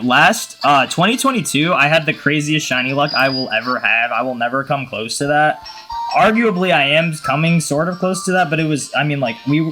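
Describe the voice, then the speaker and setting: A man with a cold giving casual, excitable, slangy gaming commentary. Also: a little high. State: has a cold. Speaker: gaming video host.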